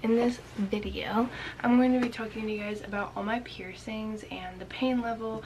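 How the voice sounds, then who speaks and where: A young woman tells a story to her webcam from a small bedroom. She sounds slightly raspy and talks in a loose, rambling way. She keeps saying "like" and often slips into a whisper. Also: medium pitch, noisy background. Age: young adult. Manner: chatty, many "like" fillers, drops into whispers. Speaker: vlogger. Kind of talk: casual storytelling to camera.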